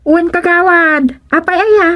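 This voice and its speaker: Filipino village health worker, high voice, plain-spoken, radio drama, dry sound. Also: female voice, a little slow, a bit bright, dry recording. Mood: concerned, guarded.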